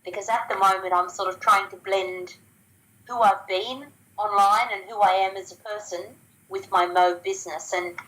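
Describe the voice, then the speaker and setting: A woman's voice: medium pitch, fairly even intonation, moderate pace, fairly bright tone, poor audio. An Australian woman talking casually as an interview guest, chatty, with frequent ums and restarts. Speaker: guest sharing business results.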